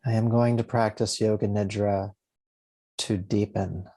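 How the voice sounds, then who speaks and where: Low, mellow man guiding meditation. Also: flat delivery. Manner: soothing, gentle, unhurried with spaced short phrases. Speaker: yoga nidra teacher. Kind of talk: guided meditation.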